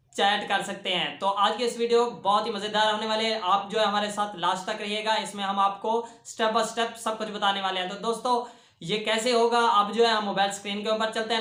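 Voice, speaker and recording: Casual how-to host, medium pitch, keeps calling viewers friends, lots of repeated filler phrases. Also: bright, forceful tone. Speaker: tutorial host. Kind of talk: casual how-to tutorial narration.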